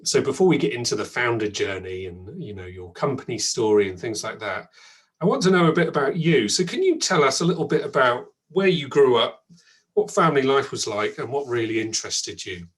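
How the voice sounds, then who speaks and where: A man's voice: mid-range voice, big pitch swings, fairly quick, fairly bright tone. A man hosting an online event, chatty and thankful, with frequent ums and restarts. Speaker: event host.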